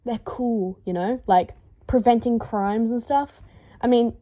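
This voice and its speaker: A woman voicing an eager, tactless young male wizard in an audiobook, blurting and excitable, in a dull lo-fi recording. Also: on the high side, unhurried, lightly acted. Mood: eager, chatty. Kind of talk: audiobook character voice.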